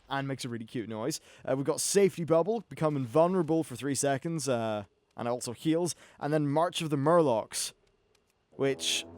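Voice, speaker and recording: A man giving casual, off-the-cuff video game commentary as host, chatty and wry, with some swearing. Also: a little high.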